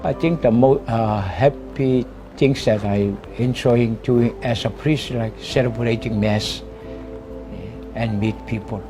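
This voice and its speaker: Casting: male priest, Kiribati accent, testimonial delivery with frequent "eh?" tags, mid-pitched, set over a music bed. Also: poor audio. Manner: often ends phrases with "eh?". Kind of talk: personal testimony to camera in a mission film.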